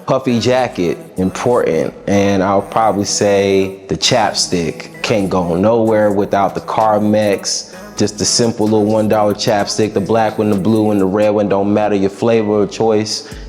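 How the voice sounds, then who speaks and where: A young adult man with a raspy voice, a musician guest answering interview questions in a laid-back, reflective way with casual slang. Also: on the low side, steady tone, measured pace, a little mellow, soft background music.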